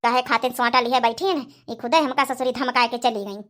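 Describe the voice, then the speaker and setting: A woman's voice: a little high, fairly even intonation, fast, a bit bright, close to an everyday voice. A woman voicing a weary old village mother-in-law in a comic cartoon, complaining and muttering with an Awadhi accent. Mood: exasperated.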